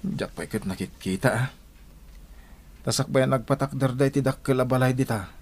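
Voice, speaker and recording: Male actor as poor village husband, husky mid-pitched voice, earnest, complaining, radio drama. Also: brisk, darkish tone, close to an everyday voice. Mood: grumbling. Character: poor village husband, anxious, grumbling about rich neighbors. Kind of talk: Ilocano radio drama acting.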